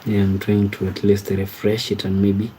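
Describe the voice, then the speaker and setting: A man from Kenya chats casually on a livestream. His voice sits low and warm, and it barely rises or falls. He reads viewer comments aloud and stumbles over his words as he answers them. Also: completely flat delivery, slight background noise. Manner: slow, halting, repeats words and restarts while reading comments aloud. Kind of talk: casual live-stream chat.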